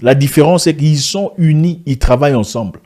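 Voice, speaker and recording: Husky-voiced man speaking emphatically. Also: on the high side, normal speed, mellow. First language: not French; an African language. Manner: emphatic, rhetorical questions, frequent restarts. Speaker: online video commentator. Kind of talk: impassioned monologue to camera.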